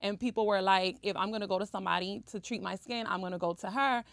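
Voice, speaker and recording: A woman's voice: mid-range voice, fairly even intonation, fast, gravelly, fairly bright tone, no room sound, clean studio sound. A woman from Cuba who has lived in the US since early childhood, talking chattily as an esthetician guest in a podcast interview and often checking in with the listener. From Cuba, living in the US since age three. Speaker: interview guest, esthetician and skincare brand owner.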